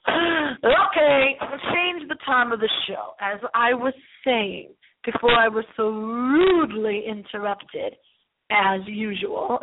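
A woman hosting a paranormal call-in internet radio show in a playful, theatrical, rambling style with drawn-out dramatic delivery. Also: fairly high pitch. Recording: lo-fi phone-like line with hiss.

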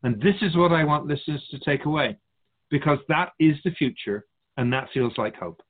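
A man playing a wise, curious otherworldly spirit guide in a radio drama, musing formally with gentle wry humour. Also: fairly high pitch, moderate pace, lightly acted. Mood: musing, hopeful. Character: Keeper of Souls Purpose, wise curious otherworldly being. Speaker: spirit guide being interviewed. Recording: muffled phone-like narrow band.

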